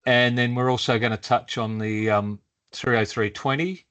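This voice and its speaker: Relaxed man hosting a meeting. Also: mid-range voice, normal speed, clean tone. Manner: relaxed, loose with fillers. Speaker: meeting facilitator. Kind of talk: workshop introductions. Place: meeting room.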